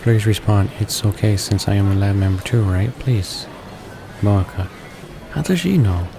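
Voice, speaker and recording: A man's voice: lowish voice, moderate pace, creaky. A man reading visual novel text aloud as a let's play host, stumbling over words as he goes.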